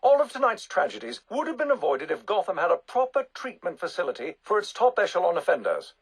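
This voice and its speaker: An actor playing a zealous, self-assured public figure, speaking pompously and emphatically in a TV debate. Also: male voice, high voice, wildly swooping pitch, fast, slightly rough, heavily acted. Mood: insistent. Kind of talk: broadcast debate speech.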